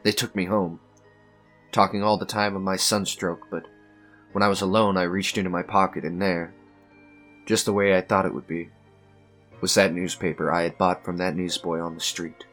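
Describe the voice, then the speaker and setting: An actor playing a tormented, unhinged man recounting his own doom with halting intensity in a radio suspense drama. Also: low voice, flat delivery, a bit bright, soft background music. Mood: brooding. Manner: intense, halting, theatrical, repeating phrases.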